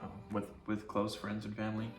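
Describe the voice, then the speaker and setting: An easygoing man from Utah, chatting in a family Q&A with many ums and pauses. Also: lowish voice, monotone, reverberant, soft background music. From Utah, United States. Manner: easygoing and halting, with many fillers. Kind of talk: casual family Q&A chat.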